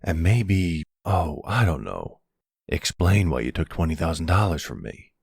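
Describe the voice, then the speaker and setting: Man as deep, gravelly mafia boss. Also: sing-song, dry recording, clean studio sound, full character voice. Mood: wry. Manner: measured, wry, commanding. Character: suave middle-aged mafia boss, menacing yet tender boyfriend. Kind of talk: ASMR boyfriend roleplay acting.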